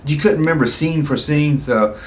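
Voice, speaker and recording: A man's voice: fairly high pitch, a little fast. Echoey, rambling middle-aged man reminiscing. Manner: rambling, nostalgic, trails into asides. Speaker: host of a fan video channel. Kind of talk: casual fireside chat, reminiscing to viewers. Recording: roomy echo, clear background noise.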